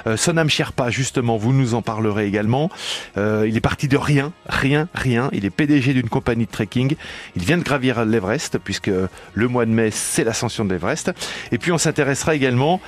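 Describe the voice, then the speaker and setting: A man's voice: mid-range voice, a little fast. A man hosting a radio magazine show and presenting it from a studio. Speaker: radio host. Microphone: studio microphone.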